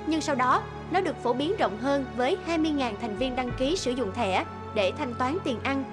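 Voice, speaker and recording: High-pitched woman narrating a story. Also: lively intonation, normal speed. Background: background music.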